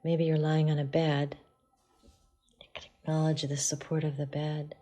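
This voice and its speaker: Woman, meditation guide, low-pitched, even and unvarying intonation, soft hushed delivery, frequent pauses. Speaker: yoga and meditation teacher.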